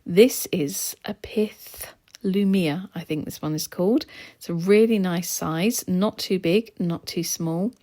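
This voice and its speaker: A woman narrating her art vlog in a relaxed, chatty way, her voice slightly hoarse as she loses it. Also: on the low side, slow pace. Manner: chatty, relaxed, with soft laughs and ums. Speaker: art vlogger. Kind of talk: casual voice-over narration.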